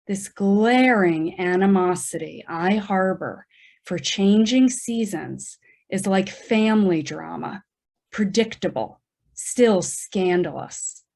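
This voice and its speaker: A woman reciting a poem with deliberate, measured phrasing. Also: mid-range voice, a little mellow. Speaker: poet reading own poem. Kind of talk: poetry recitation.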